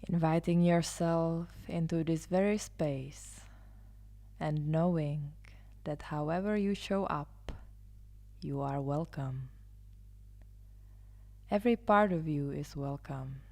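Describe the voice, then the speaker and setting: A woman leading a guided meditation as a yoga nidra guide, soothing and hushed, often trailing into a near-whisper. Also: low-pitched, takes it slowly, pauses often. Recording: live instrumental music behind the voice. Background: soft live instrumental music.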